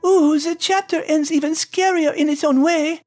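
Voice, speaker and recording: Woman as French female character, French accent, very high voice, thoughtful, children's story show. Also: fairly even intonation, normal speed, a little mellow, faint music behind, lightly acted. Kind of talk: scripted in-character commentary.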